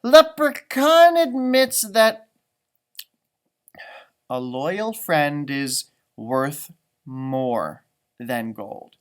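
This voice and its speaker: A grumpy little leprechaun from a storybook, acted out by a man, grumbling and exclaiming theatrically. The pitch leaps around dramatically and the words come slowly, drawn out for effect. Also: big pitch swings, exaggerated voice. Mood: proud. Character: grumpy male leprechaun telling his own tale. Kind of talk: storybook read-aloud character voice.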